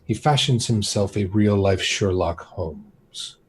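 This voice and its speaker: Proud, testy old nobleman, slow, husky, curt, as a game master plays him. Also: fairly low pitch. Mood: scornful. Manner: curt, scornful. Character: irritable proud older nobleman host.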